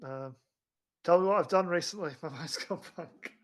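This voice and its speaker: A man co-hosting a film podcast, chatting casually in a halting way, repeating words as he goes. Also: on the high side.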